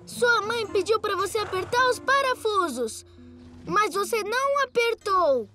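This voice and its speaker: A very high, childlike cartoon voice for a fussy young safety-inspector motorbike. The delivery is slow, precise and officious, as if every rule matters. Loud music plays behind it. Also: animated. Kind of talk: dubbed children's cartoon acting.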